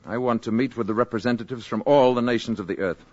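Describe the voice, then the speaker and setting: An actor playing a calm, aloof male alien visitor in a 1950s radio drama, speaking in a measured, quiet, grave way. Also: medium pitch, lo-fi sound, close to an everyday voice.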